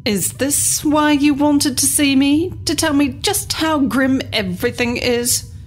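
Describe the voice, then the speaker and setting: An actress playing a cool, blunt corporate executive in an audio drama, direct and guarded, over a faint rumbling noise. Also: female voice, measured pace.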